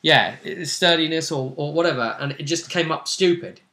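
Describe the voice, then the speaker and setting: A man's voice: a little high, normal speed, pushed and bright. A man chatting casually as a game master, recapping a tabletop role-playing session and acting out bits of dialogue as he goes. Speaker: game master and video host.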